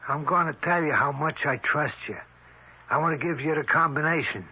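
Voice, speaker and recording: A husky, streetwise, scheming crook. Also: male voice, a little high, normal speed, pushed and bright, exaggerated voice. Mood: cocky. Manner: streetwise slangy talk, ungrammatical, persuasive. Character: scheming tough crook, cocky, desperate for a fortune. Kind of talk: 1970s radio drama acting. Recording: dull vintage radio recording.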